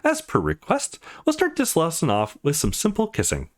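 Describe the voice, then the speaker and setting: A man's voice: a little high, wildly swooping pitch, moderate pace, gravelly, voice pushed up, full character voice. A man voicing an easygoing young man in a visual novel who plays a bossy teacher, mock-stern but laid-back. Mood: instructive.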